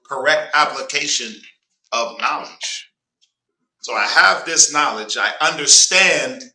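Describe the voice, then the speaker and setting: A man's voice: fairly high pitch, sharp tone. A man with a Southern US accent and a stuffy nose teaching a casual Bible class, prompting a reader and joking with the group. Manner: conversational, prompts reader with "go ahead", jokes. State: stuffy nose, clearing throat. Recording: echoey room, distant mic.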